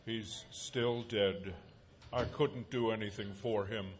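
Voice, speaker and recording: A man's voice: lowish voice, fairly even intonation, slow pace. An actor playing a grim older surgeon father, an obsessed scientist, in a live radio play. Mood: grave.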